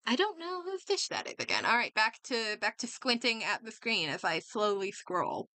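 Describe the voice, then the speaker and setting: A woman's voice: fairly high pitch, big pitch swings, normal speed, sharp tone, no room sound. A woman livestreamer chatting casually as she games, reacting and thinking aloud, often doubling back on her words. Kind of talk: casual chat while gaming, reacting aloud.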